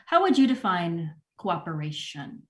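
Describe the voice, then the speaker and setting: An actress playing an awkward, earnest store manager in a sitcom table read, mild and a little hesitant. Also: female voice, on the low side, very animated, measured pace, fairly bright tone. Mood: earnest, probing.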